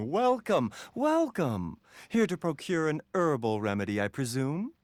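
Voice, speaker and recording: A man playing a courteous, gentle, scholarly herbal pharmacist in a video game, polite and formal. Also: fairly high pitch, very animated, unhurried, slight background noise. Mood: welcoming. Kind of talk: video game character dialogue.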